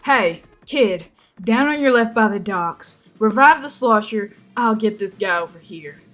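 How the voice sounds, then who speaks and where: A woman's voice: mid-range voice, animated, unhurried, fairly bright tone. A woman plays a feisty squid-girl fighter in an action story. She calls to her teammates in an urgent, commanding way, with music behind her.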